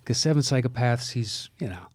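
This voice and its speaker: Guy on a movie podcast, regular pitch, blunt and opinionated, swears a lot, just chatting. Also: lively intonation, a little fast, a little mellow. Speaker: podcast co-host reviewing films. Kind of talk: casual film chat.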